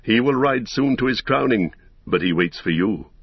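A man voicing a wise, kindly old wizard in a storybook, speaking in a measured, formal, gently ceremonious way. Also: medium pitch, expressive, breathy edge, no echo, highs cut off. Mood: stately.

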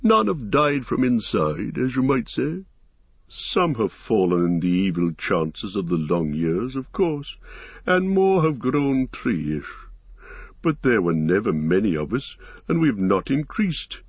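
A man voicing an ancient, slow-spoken male tree giant, unhurried and musing, with a gravelly, husky voice. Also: lowish voice, big pitch swings, dry recording, lo-fi sound, heavily acted. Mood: mournful.

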